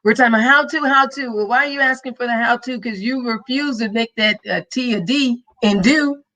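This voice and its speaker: A woman's voice: on the high side, a little fast, bright, forceful tone. A woman podcast host and minister giving a live-streamed motivational talk, emphatic and preachy, with a faith-filled rhythm.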